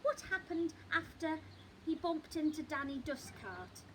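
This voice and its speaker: An eager young storybook boy, put on by a woman, pleading and full of questions. The voice is high and swoops about. The recording has clear noise. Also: big pitch swings, measured pace.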